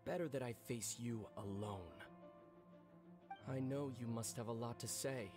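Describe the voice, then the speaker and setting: An actor playing a proud warrior who challenges a rival in a cool, measured, commanding manner. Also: male voice, mid-range voice, close to an everyday voice. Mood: challenging. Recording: game cutscene with music.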